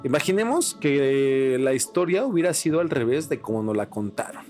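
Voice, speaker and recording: A man's voice: medium pitch, lively intonation, normal speed, darkish tone, soft background music. A man with a Mexican accent talking casually on a podcast, informal, slangy and opinionated. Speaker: podcast co-host.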